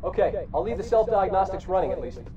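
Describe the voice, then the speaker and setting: A man's voice: sits high, animated, fast talker, highs cut off, a crowd in the background. A man playing an earnest junior starship technician in a video game, reporting in procedural military terms. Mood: focused. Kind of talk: video game cutscene acting.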